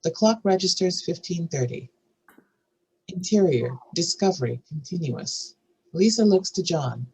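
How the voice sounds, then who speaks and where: A man's voice: on the high side, lively intonation, a little slow, gravelly, a little mellow, husky, rough recording. A man narrating scripted scene directions as part of a screenplay table read. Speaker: narrator reading stage directions.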